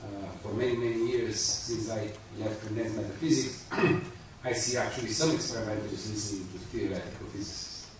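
A man's voice: fairly low pitch, fairly even intonation. Hesitant man in an echoey hall. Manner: hesitant, many fillers, halting delivery. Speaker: conference opener, funding agency official. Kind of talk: opening remarks at a conference. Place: large conference hall, indoors. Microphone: handheld microphone. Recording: echoey hall, picked up from a distance, audible room noise.

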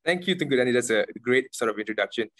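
A man's voice: medium pitch, animated, fairly bright tone, no echo. Male moderator, middle-aged, polished formal delivery on a video call; dry, close audio. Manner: measured, formal questions. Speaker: panel moderator. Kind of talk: moderating an online panel. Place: home room on a video call.

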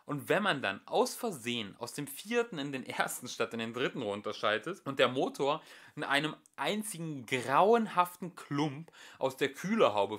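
A man in his thirties reading a novel aloud as a homemade audiobook, shifting his voice for the characters. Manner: lively, shifts pitch and loudness for each character. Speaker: audiobook reader. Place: home room, indoors.

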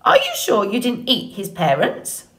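A woman voicing an old female goose in an audiobook story, squawking, bossy and brisk in her manner. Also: medium pitch, huge pitch swings, rough recording. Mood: suspicious squawking. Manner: indignant, bossy, matter-of-fact.

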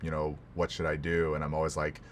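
A man with a low, deep voice hosts an informal interview. He speaks conversationally, building his questions bit by bit and frequently checking that the other person follows. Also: monotone, fairly quick. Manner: checks in often with "right?" and "you know". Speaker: interview host.

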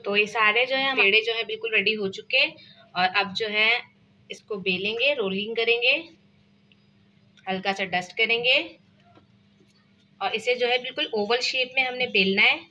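Woman cooking-channel host, Pakistani Urdu accent, bright forceful voice, slightly dull lo-fi home recording, chatty step-by-step delivery. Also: fairly high pitch, fairly even intonation, moderate pace, long pauses. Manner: chatty step-by-step explaining, frequent filler phrases. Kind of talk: casual cooking demonstration. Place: home kitchen.

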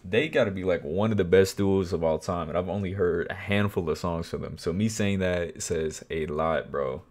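A young man in his twenties hosting a music reaction video, talking casually and softly at night, excited about the song. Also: low voice. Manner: enthusiastic, softly spoken at night. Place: small bedroom, indoors. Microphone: wired earbuds mic, webcam framing. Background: song playing faintly.

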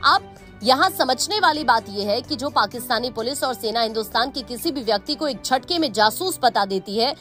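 A woman's voice: a little high, expressive, moderate pace, bright, forceful tone. A woman with an Indian accent reading a scripted news report as narrator. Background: background music.

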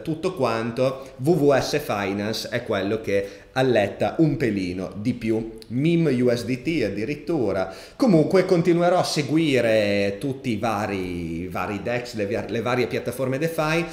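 A man in his thirties hosting a casual live stream, thinking out loud with plenty of fillers and restarts. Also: mid-range voice. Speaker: crypto streamer. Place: home room, seated in gaming chair. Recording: roomy echo.

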